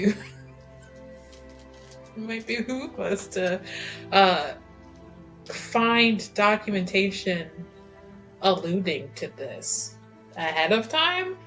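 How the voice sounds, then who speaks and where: Bright-toned woman at a game table. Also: medium pitch, lively intonation, slow pace, pauses often, soft background music. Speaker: player in a tabletop roleplaying game. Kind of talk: casual planning chat at game table.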